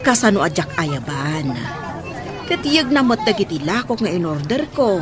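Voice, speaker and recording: A woman playing a bossy but warm-hearted shopkeeper aunt in an Ilocano radio drama. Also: mid-range voice, sing-song, normal speed, a crowd in the background, close to an everyday voice. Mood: kindly, coaxing.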